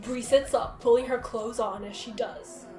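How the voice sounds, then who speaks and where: Female narrator, high voice, amused amateur comic read, echoey sound. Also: steady tone, normal speed, a little mellow. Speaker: narrator of a group dramatic reading. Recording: roomy echo, faint music behind.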